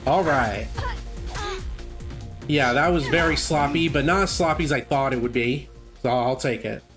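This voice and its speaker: A man giving casual live speedrun commentary, haltingly thinking aloud as he plays. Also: mid-range voice. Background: game music.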